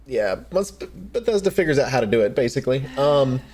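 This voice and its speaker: A man's voice: mid-range voice, big pitch swings, fairly quick, a little mellow, a little hiss or hum. A man hosting a podcast, talking through gaming news in a casual, unscripted and somewhat hesitant way. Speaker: podcast host.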